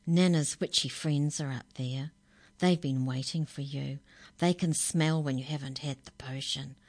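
A woman's voice: low voice, fairly even intonation, a little slow, a little mellow, dry recording. Wicked little brother figure, whispered, storybook style, woman reading. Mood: sly. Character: teasing older brother, sly and whispery.

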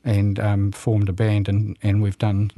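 An older man of about seventy, from New Zealand, talks as a guest on local radio. His voice sits low and the sound is close and dry. He speaks haltingly, full of ums and restarts. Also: completely flat delivery, dark tone, dry recording. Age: around 70. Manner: halting, many fillers and restarts, chuckles. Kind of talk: casual radio interview.

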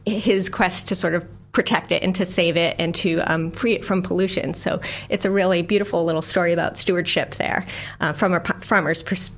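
Enthusiastic American woman, laughing often. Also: medium pitch. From United States. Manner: enthusiastic, laughs often, many fillers and restarts. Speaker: guest, science filmmaker and lecturer. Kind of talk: radio interview.

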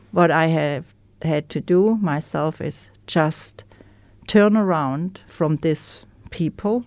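A woman with a German accent, a native German speaker, candidly sharing her recovery story as a guest in an interview, pausing to search for words. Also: sits low, darkish tone, smooth, dry recording. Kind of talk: personal testimony in an interview.

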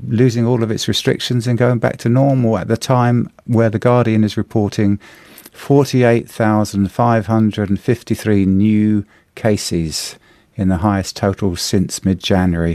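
Middle-aged kiwi radio guy, slightly hoarse, lotsa uhs n restarts, lowish voice, dead dry sound. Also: little pitch movement, mellow, dry recording. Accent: New Zealand. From New Zealand. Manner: halting, lots of fillers and restarts. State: a bit hoarse. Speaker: radio host. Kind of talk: casual radio chat reviewing the newspaper.

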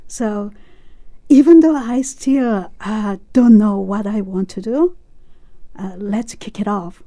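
A woman in her sixties, a club member talking about her club in an interview, speaking haltingly with many pauses. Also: mid-range voice, mellow. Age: 60s. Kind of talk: casual interview chat.

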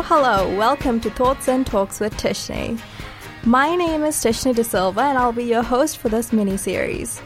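Upbeat young woman hosting, higher-pitched voice. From Sri Lanka, raised in New Zealand from age eight. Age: young adult. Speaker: podcast host. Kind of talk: casual interview podcast.

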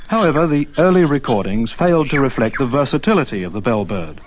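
A man narrates a scripted radio nature piece with a very lively, rising and falling delivery. His pitch sits mid-range, and faint noise is heard behind him. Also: fast talker.

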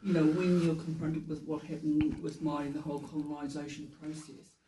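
A man speaking as a radio guest. He talks haltingly and fills his pauses with "you know". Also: fairly high pitch, little pitch movement, warm tone. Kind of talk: casual radio chat. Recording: roomy, distant mic, faint noise.

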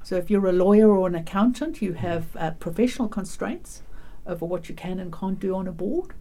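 A woman from South Africa with a South African accent, giving measured, practical advice on a radio panel. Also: medium pitch, warm tone. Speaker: panel guest, governance academic. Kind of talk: casual radio panel discussion.

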